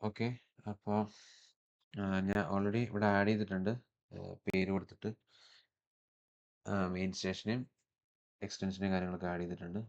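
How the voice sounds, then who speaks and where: A man with a Malayali accent presenting a step-by-step software tutorial in an instructional manner, mixing in English technical terms. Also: low voice, level tone, normal speed, long pauses, dull audio.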